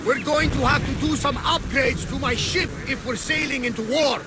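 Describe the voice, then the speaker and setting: An actor playing a hearty, pious old ship captain in a video game. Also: male voice, extremely high pitch, normal speed, heavy background noise. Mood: rousing. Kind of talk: video game cutscene acting.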